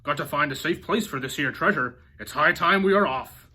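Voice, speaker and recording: A man voicing a gruff, boisterous head pirate in a storybook, bellowing orders. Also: on the high side, normal speed, bright, forceful tone, full character voice. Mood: rousing command. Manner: bellowing, commanding. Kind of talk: storybook character voice with pirate talk.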